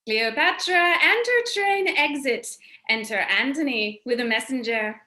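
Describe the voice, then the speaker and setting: Bright high-voiced woman narrating. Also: animated, unhurried. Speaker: narrator reading stage directions. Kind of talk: scripted narration. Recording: echoey room.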